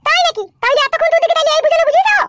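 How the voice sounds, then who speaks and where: Squeaky-high, fiery scorned woman. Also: rapid-fire, a bit bright, no echo, clean studio sound. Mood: furious threatening. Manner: scolding, ranting, threatening. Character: scorned, fiery, sharp-tongued village mistress. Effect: pitched-up voice. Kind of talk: amateur Bengali comedy cartoon dialogue.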